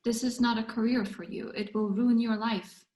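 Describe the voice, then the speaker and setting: A woman acts out a worried, protective grandmother at a script table read. She scolds and pleads in a slightly high voice, recorded in an echoey room. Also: fairly even intonation, normal speed, darkish tone, natural voice. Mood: stern.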